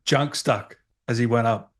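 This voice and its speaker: A man's voice: mid-range voice, measured pace. Australian man hosting a casual chat. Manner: informal, matey, joking. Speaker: interview host.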